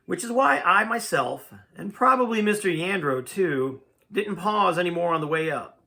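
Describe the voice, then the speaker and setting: A man's voice: a little high, gravelly, sharp tone. A man reading a first-person tale aloud in a dramatic, folksy storytelling manner. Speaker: amateur audiobook reader.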